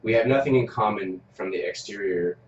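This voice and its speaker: Low-voiced man heard from a distance. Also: a little fast. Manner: relaxed, storytelling, frequent hums of agreement. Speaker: interviewer. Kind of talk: casual conversation. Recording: distant, echoey, muffled, noisy.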